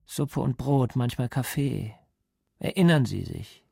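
A man playing a calm, controlling interrogator in a radio drama, putting measured, cold questions. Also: medium pitch, creaky, breathy edge, no room sound, studio quality, heavily acted. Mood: cold control. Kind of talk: German radio drama acting.

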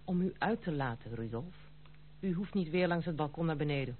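A woman's voice: sits low, animated, a little fast. An actress playing a proud, stern young woman in a Dutch radio drama, speaking formally and resolutely. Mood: stern, cold. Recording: tinny, noisy old radio play recording.

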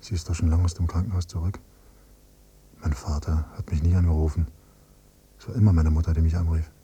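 Low, muted, bitter grieving son played by a man. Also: fairly even intonation, brisk, warm tone, lightly acted. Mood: numb, sombre. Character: grieving adult son, quietly bitter and probing. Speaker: first-person narrator and character. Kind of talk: literary radio drama monologue and dialogue. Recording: noisy hummy cassette copy of radio play.

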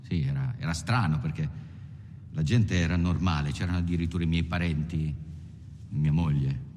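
An actor playing an ageing, haunted prisoner in a hesitant, introspective film monologue. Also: male voice, moderate pace, darkish tone. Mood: resigned, brooding. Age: older. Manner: hesitant, introspective, plain-spoken. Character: ageing male prisoner, defeated, haunted, resigned. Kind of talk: dramatised confessional film monologue. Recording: long reverb, faint rumbling noise.

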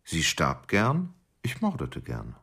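An actor playing a sardonic murderer who recounts a deadly romance in a radio play, wry and matter-of-fact. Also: male voice, low voice, wildly swooping pitch, slow pace, vocal fry, a bit bright, slightly rough, exaggerated voice. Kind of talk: first-person radio play narration.

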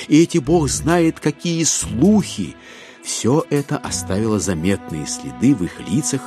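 A man's voice: fairly low pitch, a little mellow. A man narrating a dramatized literary classic in a wry, ironic manner.

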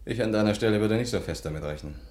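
Guy playing a dry laconic hero, low voice, unflappable, old 50s radio drama. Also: rapid-fire, darkish tone, natural voice. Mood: dry, resigned. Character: dry, unflappable male partner of an adventuress. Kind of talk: 1950s German radio play acting.

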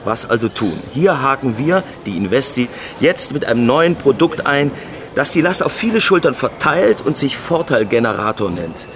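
An actor as a naive, earnest banker, medium-pitched. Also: male voice, slight background noise, close to an everyday voice. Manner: rambling, repeats himself, earnest. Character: naive romantic male banker, earnest and eager. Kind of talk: naturalistic radio drama acting.

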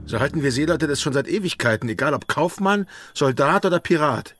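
An actor in a German radio drama playing an amorous pirate quartermaster, speaking intimately, tenderly and a little hesitantly. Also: male voice, on the high side, lively intonation, fairly quick, fairly bright tone. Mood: gently refusing. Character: Jack, amorous, easygoing pirate quartermaster and devoted lover. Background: music.

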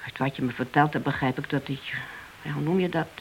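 A man's voice: a little high, fairly even intonation, fast talker, close to an everyday voice, rough recording. A man playing a concerned, frank and probing spouse of a policeman in a Dutch radio drama. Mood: worried, probing. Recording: hissy old radio play recording.

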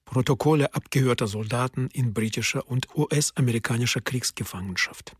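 A man's voice: medium pitch, a little fast, slightly rough, no room sound, studio quality. A man announcing the speakers in a radio drama, terse and matter-of-fact. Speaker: narrator. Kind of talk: announcing sources, scripted.

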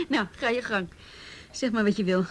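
An actress playing a wry, teasing off-duty policewoman in a naturalistic radio drama. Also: fairly high pitch. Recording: faintly noisy radio play recording.

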